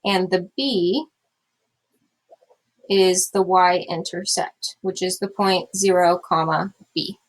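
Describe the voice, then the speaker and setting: Young female teacher, slightly scratchy voice, calm explanatory lecture delivery. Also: fairly low pitch, slow pace. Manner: steady explanatory pace with fillers. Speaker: math teacher. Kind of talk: recorded classroom lecture.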